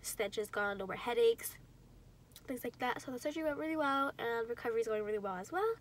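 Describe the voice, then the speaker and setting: Chatty young woman, bright higher voice. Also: expressive, quick pace, a little hiss or hum. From California. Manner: rambling, chatty, with fillers like "um" and "like". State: congested and recovering after surgery, sometimes whispering. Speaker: vlogger. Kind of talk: casual personal vlog update.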